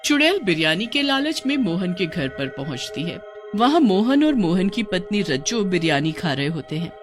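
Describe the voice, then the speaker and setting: A woman's voice: on the low side, very animated, moderate pace, soft background music. A woman narrating a scripted cartoon story.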